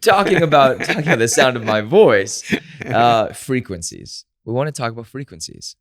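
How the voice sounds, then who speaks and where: A young man hosting a podcast with his father, his voice a little raspy from long talking, chatting energetically with many restarts. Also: medium pitch, lively intonation, moderate pace, a crowd in the background. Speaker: podcast host. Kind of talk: casual podcast chat.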